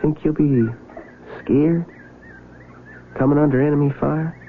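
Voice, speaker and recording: An actor playing a wary young Civil War recruit with a plainspoken, questioning drawl, heard on a tinny, noisy old radio broadcast. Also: male voice, a little high, fairly even intonation, a little slow. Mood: troubled. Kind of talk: 1970s radio drama acting.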